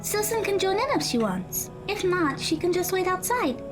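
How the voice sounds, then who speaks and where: A woman playing an earnest, studious high school girl in an audio drama, speaking in precise, formal phrases over music. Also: sing-song, moderate pace. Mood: encouraging, helpful.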